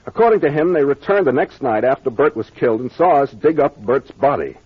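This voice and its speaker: An actor playing a confident, authoritative captain investigator explaining a mystery in an old-time radio serial. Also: male voice, mid-range voice, slightly rough, dry recording. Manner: authoritative, expository. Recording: muffled old radio recording.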